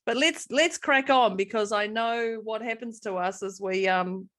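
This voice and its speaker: A woman's voice: a little high, a little slow, a bit bright. Bubbly New Zealand woman co-host. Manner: bubbly, laughs and snorts often. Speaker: podcast co-host. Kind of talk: casual chat.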